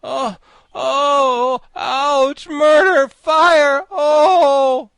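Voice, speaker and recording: A man voicing a plucky, excitable young boy in a storybook, quick to exclaim and sulk. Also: high-pitched, no room sound, voice pushed up. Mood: agonized. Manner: exclamatory, petulant. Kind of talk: storybook character voice.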